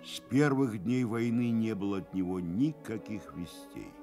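A man's voice: on the low side, lively intonation, unhurried, dark tone, soft background music. A man giving scripted literary narration for a radio play.

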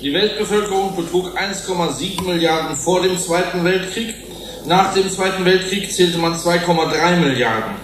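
An actor playing a managerial project director giving a formal, oratorical speech to a tribunal in a German radio play. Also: male voice, sits high, moderate pace, background noise, lightly acted. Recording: echoey hall, played as court tape recording.

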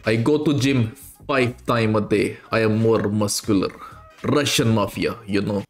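A man's voice: fairly low pitch, a little slow. Young Indian man, headset, mock-Russian broken English prank voice, faint music under it. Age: young adult. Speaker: streamer trolling strangers. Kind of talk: put-on Russian accent prank chat. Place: indoor room, video chat.

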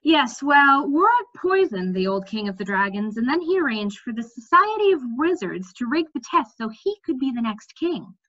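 A woman reads as a brisk, candid young fantasy heroine from a storybook. She talks in a bright, fairly high voice with animated pitch. The recording is a little dull. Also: exaggerated voice. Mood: matter-of-fact. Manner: matter-of-fact, animated.

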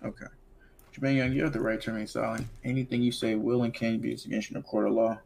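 Fast-talking, creaky-voiced man questioning casually. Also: mid-range voice, level tone, a little mellow, a little hiss or hum. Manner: informal, polite, procedural. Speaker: player acting as police officer. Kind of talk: casual improvised police questioning in game roleplay.

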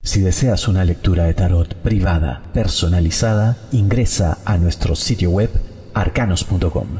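A man reads a promotional voice-over in a very deep, mellow voice. His delivery is steady and instructive. A long reverb trails after each phrase. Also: moderate pace. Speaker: announcer.

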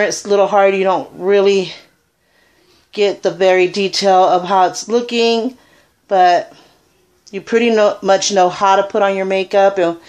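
Puerto rican woman in her 30s, rambly makeup tutorial, lots of ums, webcam in a small room. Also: medium pitch. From Puerto Rico. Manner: chatty, rambling, filler-heavy. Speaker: beauty vlogger. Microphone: webcam at arm's length.

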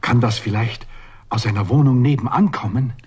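A man's voice: medium pitch, moderate pace, a little mellow, slightly rough. An actor playing a sceptical, practical man in a children's radio play, matter-of-fact and dismissive of fears.

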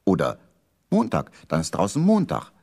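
A man with a husky voice narrating a scripted children's story for a radio play. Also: low voice, huge pitch swings, normal speed, mellow.

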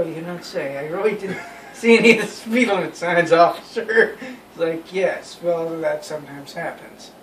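A young man casually telling friends an anecdote in an animated way. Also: fairly high pitch. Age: young adult. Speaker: storyteller. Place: small bedroom, indoors. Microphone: phone camera a few feet away. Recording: roomy, distant phone mic with noise.

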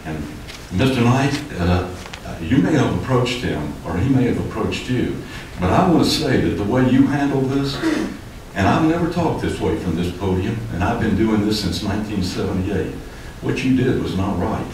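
Low-voiced older man at a hall podium. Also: expressive, moderate pace, darkish tone, a little hiss or hum. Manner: emphatic, gesturing, rising heat. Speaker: public commenter, property developer. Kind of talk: public comment at a city hearing. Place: city commission chamber, indoors. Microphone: podium microphone. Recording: echoey hall, distant mic.

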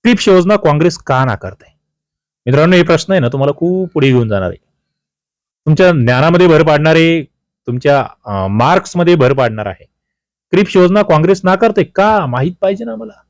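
A man's voice: a little high, lively intonation, a little fast, no echo. A man with a Maharashtrian accent teaching an exam-prep history quiz, addressing students emphatically, repeating key questions and answers with colloquial asides. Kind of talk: quiz-style history lesson, talking to students.

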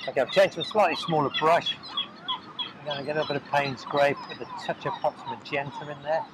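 A man demonstrating a watercolour painting outdoors, talking casually and softly with dry joking asides as he works. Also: fairly high pitch, brisk. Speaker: painting tutor. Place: outdoors on a windy beach. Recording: loud outdoor background noise. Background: seagulls and beach ambience.

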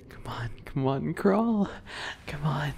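A young man playing a sadistic, obsessive bunny boy in a whispery ASMR roleplay, soft and breathy, taunting with false sweetness. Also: fairly high pitch, slight background noise, full character voice. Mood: gleeful, taunting.

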